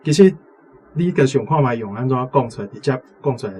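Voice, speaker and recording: A man's voice: mid-range voice, animated, fast, warm tone, slightly rough. A man with a Taiwanese accent, a language teacher giving explanatory commentary off the cuff. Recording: music playing behind the voice. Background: instrumental music.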